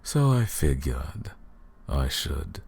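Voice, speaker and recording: Casting: arrogant vampire lord for ASMR roleplay, put-on foreign accent, extremely low and husky, cruel, theatrical taunting. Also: male voice, sing-song, a little mellow, exaggerated voice. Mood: gloating, amused. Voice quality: gravelly, husky, breathy deep voice. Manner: slow, menacing, mocking, theatrical.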